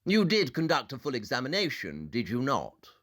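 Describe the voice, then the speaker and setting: A man's voice: medium pitch, huge pitch swings, normal speed, a bit bright. An actor playing a brusque, impatient, commanding male detective in an audio drama, curt and precise. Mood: impatient, interrogating.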